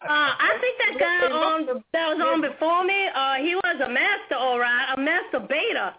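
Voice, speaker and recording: A woman's voice: sits high, animated, moderate pace. A woman calling in to a talk show, joking and teasing the hosts. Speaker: caller. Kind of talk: phone call to a radio show. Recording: noisy tinny phone line.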